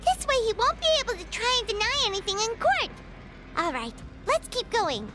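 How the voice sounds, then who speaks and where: Game companion role: little fairy girl, very squeaky, excitable and quick to indignation, with bouncing melodic delivery. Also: music in the background, heavily acted. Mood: alarmed, determined. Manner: exclamatory, expressive. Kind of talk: video game voice acting.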